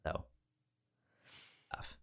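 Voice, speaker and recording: A man co-hosting a casual film chat, speaking informally and haltingly with a stammer that repeats sounds and syllables. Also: fairly bright tone. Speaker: film podcast co-host.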